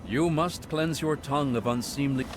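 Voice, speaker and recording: An actor playing a serene, zealous male cult monk in video game dialogue, speaking in a soft, pious, sermonizing way. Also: mid-range voice, normal speed, background noise, natural voice. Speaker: game character.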